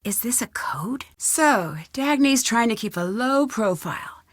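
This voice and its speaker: An actress voicing a curious, plucky young woman detective in a video game, thinking aloud to herself in clearly enunciated lines. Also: a little high, animated, unhurried, no room sound, studio quality. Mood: hushed.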